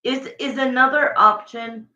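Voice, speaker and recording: A woman with a raspy voice doing a casual livestream tarot reading, chatting and thinking aloud between viewer questions. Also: high voice, fairly even intonation, a little slow, sharp tone. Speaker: tarot reader. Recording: echoey, dull lo-fi room sound.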